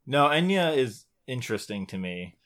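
A man co-hosts a casual gaming podcast with a mid-pitched voice. He talks informally, like someone chatting with a friend, and drops the odd swear word. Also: sing-song, moderate pace, fairly bright tone. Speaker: podcast co-host. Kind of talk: casual chat about video games.

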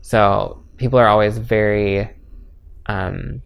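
Gravelly low-voiced young man, chatty. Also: fairly even intonation, slow pace. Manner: chatty, frequent filler "like"; candid and easygoing. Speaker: interviewee. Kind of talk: casual personal interview.

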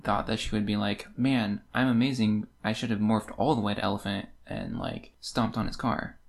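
Creaky, casual podcast voice. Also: mid-range voice, normal speed. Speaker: podcast co-host. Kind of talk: casual book discussion chat.

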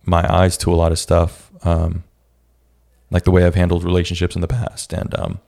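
A young man hosting his own podcast, talking in a casual, reflective way with a raspy voice and many restarts. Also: deep voice, monotone, moderate pace, a little mellow. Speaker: podcast host. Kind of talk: casual solo podcast talk.

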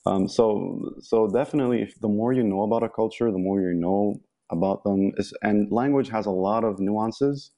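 A man's voice: lowish voice, normal speed, mellow. A young man from Egypt, a podcast guest talking casually with many ums and restarts. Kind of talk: casual interview talk.

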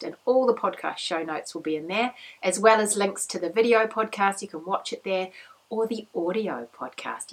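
A woman in her thirties hosts her podcast in a relaxed, chatty way. Her voice is a little croaky, and she talks cheerfully, with plenty of fillers and small restarts. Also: medium pitch, poor audio. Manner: chatty, upbeat, rambling with fillers. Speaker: podcast host. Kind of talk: casual chat.

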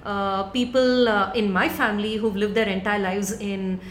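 A woman with an Indian English accent who grew up in South India, speaking reflectively with pauses and restarts as a spoken word performer in a live interview. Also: medium pitch, fairly even intonation. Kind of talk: conversational interview answers. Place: live event room. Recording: roomy live recording, faint noise.

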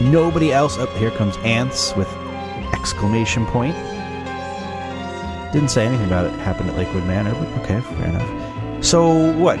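A man hosting a casual movie watch-along, his voice scratchy and raspy from a cough, making sarcastic jokes as he watches. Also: medium pitch. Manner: joking, sarcastic. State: has a cough. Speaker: host reviewing a movie.

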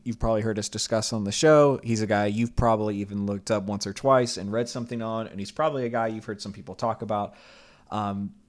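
A man co-hosting a casual podcast, introducing the topic and teasing his younger brother. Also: on the low side. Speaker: podcast co-host. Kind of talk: casual comedic chat.

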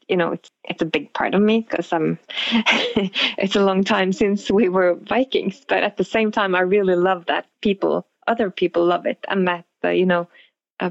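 A woman with a Norwegian accent and a noticeably creaky voice speaks as an interview guest. She answers reflectively and hesitantly, feeling for her words. The recording is close and dry but a little dull. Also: fairly low pitch, no echo. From Norway.

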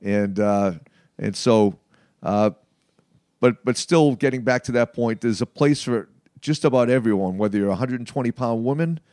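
A man in his late fifties speaking conversationally in an interview, in a halting, emphatic way with frequent check-ins. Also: mid-range voice, darkish tone, dry recording, studio quality. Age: late 50s. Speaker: martial arts practitioner being interviewed.

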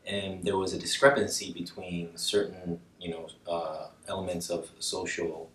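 A deep male voice, picked up at a distance from the mic with roomy echo. He is a podcast guest from Colombia, speaking in a reflective, personal interview style. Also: flat delivery, unhurried, fairly bright tone.

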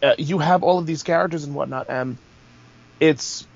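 A man's voice: on the high side, moderate pace, creaky. A man in a casual podcast discussion, blunt and listing examples. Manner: blunt, lists examples, swears. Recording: dull lo-fi recording.

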